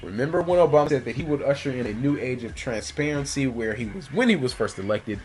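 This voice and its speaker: A man's voice: medium pitch, animated, quick pace, darkish tone. A man presenting news commentary as a radio host, reading from prepared notes and adding his own opinion. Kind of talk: news commentary, partly scripted.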